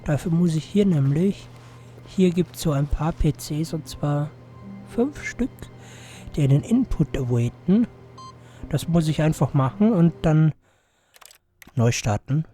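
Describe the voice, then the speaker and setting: Hoarse young man chatting over a game. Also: on the high side, animated, measured pace, long pauses, dark tone, soft background music. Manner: rambling, mumbled asides. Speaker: let's play host. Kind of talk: casual gameplay commentary. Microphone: close to the microphone.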